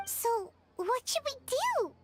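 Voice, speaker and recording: A woman voicing a tiny, childlike fairy companion in a video game, squeaky, earnest and excitable. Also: slow, heavy background noise, exaggerated voice. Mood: curious, worried. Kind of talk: cartoonish video game voice acting.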